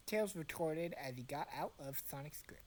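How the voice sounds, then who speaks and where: A woman's voice: sits low, animated, normal speed, a little mellow. A woman narrating a story informally for friends, as an amateur reader with exasperated asides. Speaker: narrator of a fan fiction reading.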